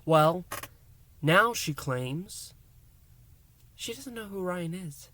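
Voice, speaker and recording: A man hosting a review, recapping a story in a theatrical, emphatic way with dramatic pauses and hushed words. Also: high voice, lively intonation. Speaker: podcast review host.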